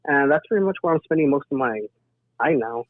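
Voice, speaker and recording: A man's voice: medium pitch, lively intonation, a little fast, dry recording. A man talking casually and earnestly as a podcast interview guest, giving a personal account over a remote call. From south Texas, United States. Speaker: interview guest, emergency dispatcher. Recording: thin phone-like band-limited sound.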